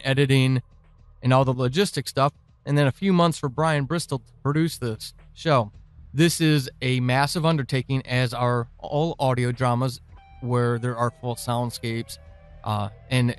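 A man hosting a podcast, speaking informally and earnestly in deliberate phrases as he addresses his listeners. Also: mid-range voice, no echo. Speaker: podcast creator and host. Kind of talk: informal listener thanks and announcements.

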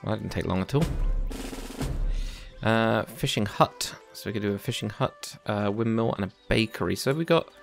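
A man's voice: lowish voice, gravelly. A man hosting a let's play, giving casual, chatty commentary and thinking aloud as he plays.

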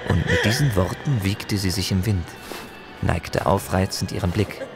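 A man with a low voice narrates a fantasy tale in a dramatic, scripted style. Music plays clearly behind his storytelling. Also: normal speed.

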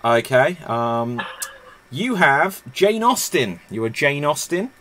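A man's voice: fairly high pitch, sing-song, slow pace, sharp tone, slight background noise. A man hosting an online improv comedy game over a video call, wearing a headset and announcing the rounds in an upbeat way.

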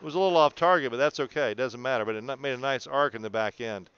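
A man's voice: medium pitch, racing, a bit bright, muffled recording, slight background noise. A man coaching a student through video analysis in an encouraging, explanatory way, often checking in. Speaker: bowling coach.

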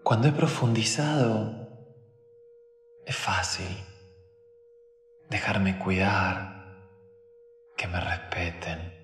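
A man leading a guided meditation in short phrases with long pauses, sometimes dropping to a whisper. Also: fairly low pitch, lively intonation, fairly bright tone, slight background noise. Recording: roomy long reverb with faint background music.

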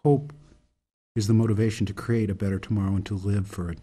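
An actor as a low, husky, solemn reformer. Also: male voice, steady tone, mellow, close to an everyday voice. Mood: grave, urgent. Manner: deliberate, solemn, speechifying. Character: earnest older male reformer laying out a grave case. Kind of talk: radio drama monologue, oratorical.

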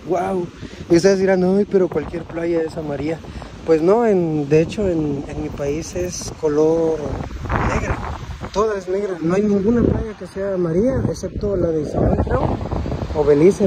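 A young Guatemalan man with a Guatemalan Spanish accent records a casual, rambling phone vlog on a beach, talking earnestly to his viewers. His voice sits a little higher than most men's. Heavy wind roars across the phone mic the whole time. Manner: earnest, rambling, says "amigos" constantly. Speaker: vlogger. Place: sandy beach outdoors on a windy day. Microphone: phone held while walking.

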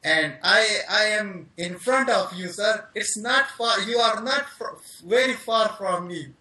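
A man's voice: sits high, unhurried, pushed and bright. A young man with a Pakistani accent, earnestly listing reasons on a video call. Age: young adult. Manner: earnest, emphatic, lists things out. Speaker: caller. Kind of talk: eager video-call interview. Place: dim room indoors. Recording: echoey room.

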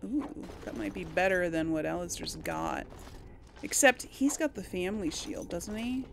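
A woman's voice: on the low side, animated, normal speed, gravelly, slight background noise. A woman giving casual let's play commentary, chatting and thinking aloud to her viewers. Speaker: gaming video host.

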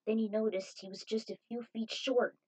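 A woman's voice: on the high side, fairly even intonation, normal speed, darkish tone, dull audio. A woman reading a scripted adventure story aloud as narrator, in a dramatic manner.

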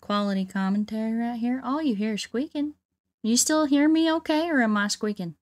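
A woman's voice: fairly high pitch, brisk. A woman with a put-on Southern US drawl chatting casually to livestream viewers in a folksy, exclamatory country-bumpkin way while gaming. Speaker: livestream host playing a video game. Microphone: close streaming microphone.

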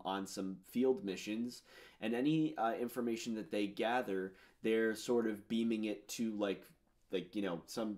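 Casting note: man, thirties, lowish voice, rambling, filler-heavy musician chatting about his record, home studio. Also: a little mellow. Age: 30s. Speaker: musician discussing his album. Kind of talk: casual commentary on his own songs. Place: home studio with purple lighting.

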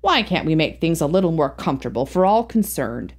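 A sly, easygoing middle-aged businessman, as a female reader puts him on. He talks in a relaxed, scheming way, a little lower than the narration. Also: fairly quick, heavily acted.

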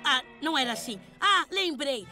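A woman's voice: high-pitched, moderate pace, over music. A woman voicing a curious little vehicle child who asks a lot of questions, with a Brazilian accent. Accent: Brazilian Portuguese. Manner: inquisitive, excitable.

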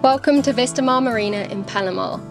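A woman's voice: a little high, fast. Woman, scripted voice-over, upbeat, music bed. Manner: upbeat storytelling. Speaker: channel narrator.

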